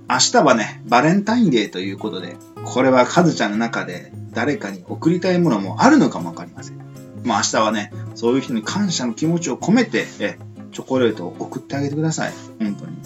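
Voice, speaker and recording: A man hosting a casual radio chat, chatty and full of small fillers, with polite phrasing. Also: medium pitch. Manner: chatty, frequent sentence-ending fillers, polite sign-offs. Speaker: radio show host.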